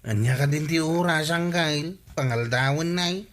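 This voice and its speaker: A man's voice: fairly high pitch, moderate pace, fairly bright tone, lightly acted. An actor with an Ilocano accent playing a hungry, impatient village man in a radio comedy drama.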